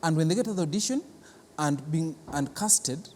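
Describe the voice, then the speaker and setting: A man's voice: fairly high pitch, lively intonation, moderate pace, darkish tone. A man with a Kenyan accent giving unscripted interview answers as a cultural centre guest, earnest and explanatory, often repeating words. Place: large reverberant theatre building. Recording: echoey hall, clear background noise.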